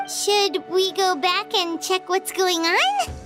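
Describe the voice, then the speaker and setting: A woman voicing a small, childlike, excitable floating companion creature in a video game, squeaky and easily worried. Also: slow, music in the background, full character voice. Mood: anxious curiosity.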